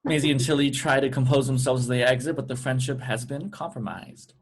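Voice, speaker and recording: A man's voice: mid-range voice, steady tone, a little fast. A man reading stage directions as scripted narration at a table read.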